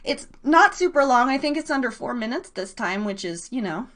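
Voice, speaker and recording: A woman's voice: fairly high pitch, expressive, moderate pace, a bit bright. A woman hosting a live horror story podcast, chatting casually and informally, repeating words for emphasis. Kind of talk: casual live show chat.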